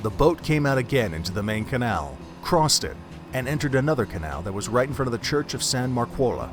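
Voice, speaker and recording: A man's voice: medium pitch, sing-song, a little fast, music in the background. A man reading scripted story narration as the narrator of an audio drama.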